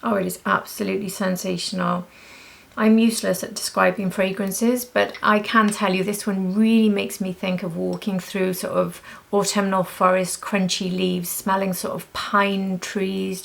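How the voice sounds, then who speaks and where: A woman's voice: medium pitch, little pitch movement, measured pace, creaky. A woman chatting casually as a beauty vlogger reviewing products, gushing and repeating words for emphasis.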